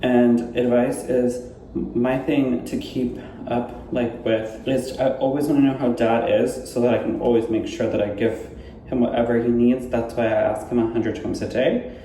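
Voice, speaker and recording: A man's voice: mid-range voice, level tone, mellow, echoey room, distant mic, slight background noise, low-quality recording. A man from Southern California co-hosting a casual family Q&A, chatty and playful. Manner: chatty, playful, quick asides. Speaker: co-host of a family channel. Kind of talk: casual Q&A chat.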